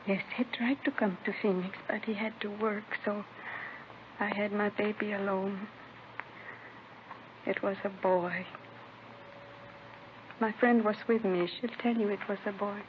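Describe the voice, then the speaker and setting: A woman's voice: medium pitch, steady tone, unhurried, pauses often, lightly acted. Tinny old radio, grieving young mother, played by an actress. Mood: subdued, halting. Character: grieving, distraught young mother confessing to police. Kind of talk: 1950s radio drama acting. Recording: tinny, noisy vintage radio recording.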